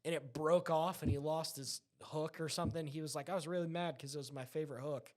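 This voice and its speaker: Guy rambling through stories he loves, slightly high voice, casual chat. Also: fairly even intonation, quick pace, darkish tone. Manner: enthusiastic, rambling storyteller. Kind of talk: casual chat, retelling anecdotes.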